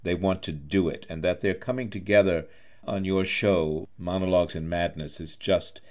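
A man hosting a conversational interview in an effusive, halting manner, often repeating his phrases. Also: deep voice, fairly even intonation, a little mellow. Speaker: interviewer, host; actor and director. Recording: dull lo-fi online-call sound.

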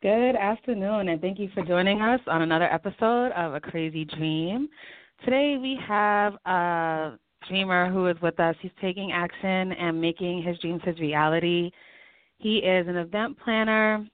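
A woman hosts an interview show over a thin phone line. Her voice is fairly low and dry, with no room sound. She introduces her guest and asks him questions in a friendly, encouraging way. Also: dry recording. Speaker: host of an internet radio show. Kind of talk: interview hosting.

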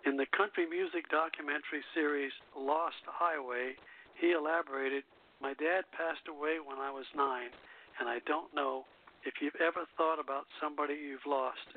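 Over a tinny, narrow phone-like line comes a man with a slightly high voice. He hosts a radio show, reading from a script haltingly and tripping over words now and then. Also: steady tone. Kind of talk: reading prepared text aloud on air.

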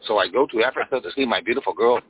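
A boastful caller with a put-on Mexican accent, forever calling everyone "my friend", as a man plays him in disguise. His voice comes through husky and squeezed down a phone line. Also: mid-range voice, very fast, natural voice. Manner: repeats "my friend", playful bragging.

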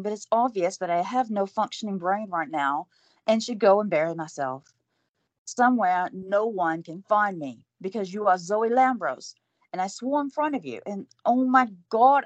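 A woman's voice: medium pitch, no echo, natural voice. A woman playing a young female interviewer who babbles nervously in front of her idol in an audio drama. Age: young adult. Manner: babbling, apologetic.

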